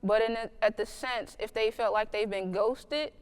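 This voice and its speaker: A woman's voice: a little high, steady tone, moderate pace, clean tone. A woman co-hosting a panel, speaking in a supportive, affirming way. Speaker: co-host. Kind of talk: panel discussion.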